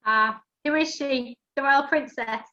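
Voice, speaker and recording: A woman's voice: high voice, unhurried, bright, forceful tone. A woman playing a chatty, good-natured young laundry lad who narrates a rhyming pantomime, on a lo-fi recording. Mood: matter-of-fact. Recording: dull lo-fi sound.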